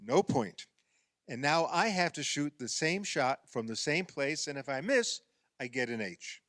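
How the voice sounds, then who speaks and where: A man's voice: on the high side, big pitch swings, measured pace, a bit bright, no echo, clean studio sound. An actor in a radio play playing an exasperated man who coaxes and explains insistently, often stammering. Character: exasperated man coaxing a woman through a playground basketball game.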